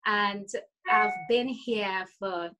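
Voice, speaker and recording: A woman in her forties with a Trinidadian accent, answering interview questions casually, often pausing to find her words. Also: mid-range voice, steady tone, sharp tone, muffled recording, faint music behind. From Trinidad and Tobago. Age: 40s. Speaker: interview guest, life coach.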